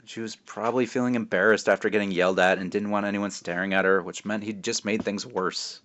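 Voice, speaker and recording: A man reading a children's book aloud as its author, expressive and wry, slipping in casual asides. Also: lowish voice, fairly quick. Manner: expressive, self-interrupting, wry.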